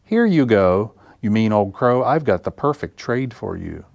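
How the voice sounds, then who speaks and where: A man's voice: on the low side, very animated, measured pace, gravelly, darkish tone, no echo, muffled recording, full character voice. A man voicing an earnest young bear who pleads emphatically in a children's storybook. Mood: pleading, insistent. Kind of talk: storybook character read aloud for children.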